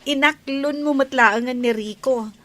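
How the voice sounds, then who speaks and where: A woman playing a bubbly, teasing best friend in an Ilocano radio drama, with a drawn-out delivery. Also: sits high, fairly bright tone. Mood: delighted, teasing.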